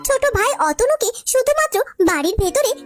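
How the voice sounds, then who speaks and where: High-pitched, quick woman storyteller. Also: big pitch swings. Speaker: narrator. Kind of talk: scripted cartoon story narration. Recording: loud music behind the voice.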